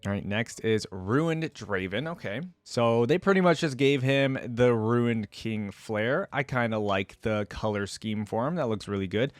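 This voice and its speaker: A man's voice: mid-range voice, unhurried, hardly any pauses, no echo. Casual man commentating in a dry-sounding room. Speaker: reaction video host. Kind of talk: casual off-the-cuff reaction commentary.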